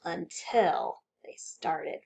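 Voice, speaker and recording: A woman's voice: extremely slow, warm tone, poor audio. A woman working as a children's librarian, reading aloud expressively with dramatic, suspenseful delivery. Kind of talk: animated children's story read-aloud.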